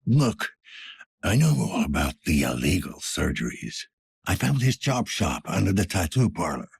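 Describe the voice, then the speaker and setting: Husky, deep-voiced weary detective. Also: male voice, very animated, normal speed, dry recording, exaggerated voice. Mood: stern, pressing. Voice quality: husky, gravelly. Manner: terse, world-weary, low-key delivery. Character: weary, gruff older male cyberpunk police detective. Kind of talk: video game voice acting.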